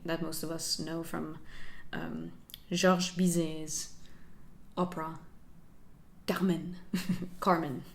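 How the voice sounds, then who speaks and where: A young woman talks through her learning journey for her channel. She is soft-spoken and reflective, with a slightly low voice, and she laughs lightly as she goes. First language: Finnish. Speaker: channel host. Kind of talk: casual talking-head vlog.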